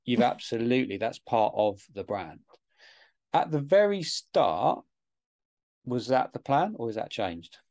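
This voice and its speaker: A man hosting a casual interview, asking reflective questions with halting restarts. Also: mid-range voice, very animated, darkish tone. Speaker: interviewer, host.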